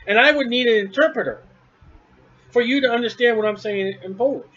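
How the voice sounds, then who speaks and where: A male preacher with a high-pitched voice delivers a blunt, scornful sermon from his house. He hammers each point home emphatically. The recording is dull and lo-fi. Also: fairly quick, fairly bright tone, breathy edge.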